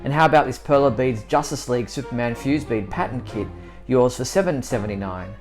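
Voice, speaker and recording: Male host for a scripted news bulletin, medium pitch, clearly enunciated presenter read. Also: a little fast, soft background music.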